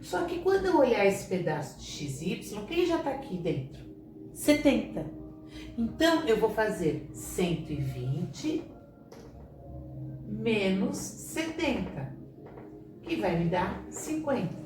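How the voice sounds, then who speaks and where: Slow woman teaching math. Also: mid-range voice, sing-song, music in the background. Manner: talks through sums step by step, asks questions and answers them herself. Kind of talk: classroom lesson at a board. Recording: echoey room, voice from a distance, clear background hiss.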